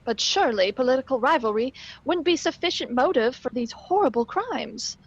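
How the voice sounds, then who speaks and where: Woman playing inquisitive high-voiced lady sleuth. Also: animated, moderate pace, slightly rough, exaggerated voice. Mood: inquisitive, earnest. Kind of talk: old-time radio drama acting. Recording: dull lo-fi stream audio.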